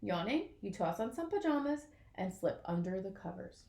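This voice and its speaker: A woman reading a children's picture book aloud in an expressive way, as if to young listeners. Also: medium pitch, moderate pace, vocal fry, echoey room. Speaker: storybook reader.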